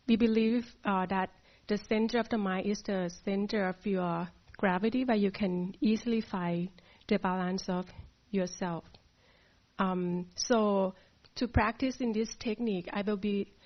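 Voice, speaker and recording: A woman from Thailand teaching a meditation class, speaking softly and hesitantly with many pauses. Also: mid-range voice. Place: meditation hall. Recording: dull lo-fi sound.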